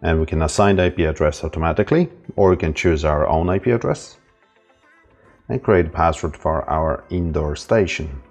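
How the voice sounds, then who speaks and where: Super deep guy walking thru setup steps, relaxed, music faint behind. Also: moderate pace. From Ireland. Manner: relaxed, step-by-step with fillers. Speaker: installer demonstrating setup. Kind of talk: casual tutorial narration.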